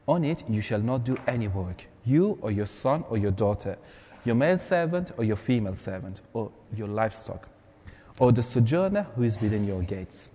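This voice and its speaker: A man's voice: lowish voice, very animated, moderate pace, warm tone, husky. A man preaching as a pastor in a conversational, informal style to a congregation. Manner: informal, quizzing the listeners. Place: large reverberant church hall. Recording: long hall reverb.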